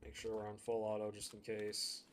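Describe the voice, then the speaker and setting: A man's voice: fairly low pitch, monotone, moderate pace, slight background noise. A man streaming a game, chatting casually and thinking aloud as he plays. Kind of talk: live gameplay commentary.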